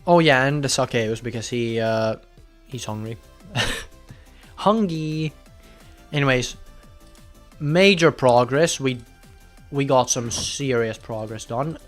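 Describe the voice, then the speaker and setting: Excitable young man streaming. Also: medium pitch, slow pace, pauses often, faint music behind. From Finland. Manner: chatty, bursts into loud excited outbursts. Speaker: game streamer. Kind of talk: casual live gameplay commentary.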